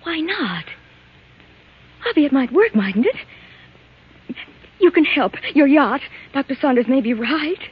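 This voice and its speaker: An actress playing an anguished, determined wife in a 1940s radio drama, with a breathy, pleading, melodramatic delivery. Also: female voice, sits high, expressive, measured pace, pauses often. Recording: muffled, lo-fi old radio recording.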